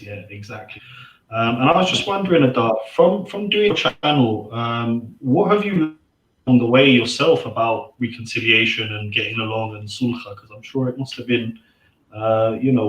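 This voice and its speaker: A man co-hosting a podcast, speaking in a halting way as he searches for words. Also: medium pitch, fairly bright tone. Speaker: podcast co-host. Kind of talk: casual podcast chat. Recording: roomy echo.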